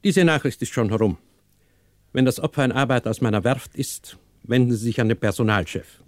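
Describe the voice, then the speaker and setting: An actor playing a haughty, wealthy older shipyard owner in a 1960s German radio play, formal, imperious and dismissive. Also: male voice, medium pitch, fairly quick, close to an everyday voice. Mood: cool, haughty.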